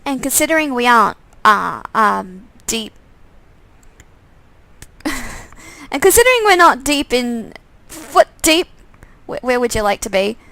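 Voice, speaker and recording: A woman's voice: a little high, big pitch swings, slow pace, gravelly, long pauses, pushed and bright. A woman streamer reading a visual novel game aloud, stumbling over lines and re-reading them with asides to herself.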